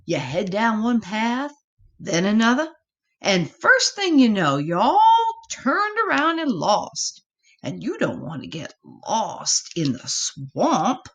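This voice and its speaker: A woman's voice: mid-range voice, big pitch swings, slow pace, lo-fi sound. A woman voicing a doting mama possum in a storybook, affectionate and dramatically cautionary. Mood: alarmed warning.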